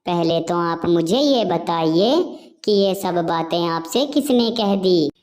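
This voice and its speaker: A woman voicing a wronged wife sparrow in a cartoon, speaking softly and plaintively. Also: lowish voice, takes it slowly. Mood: indignant. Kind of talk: cartoon voice acting.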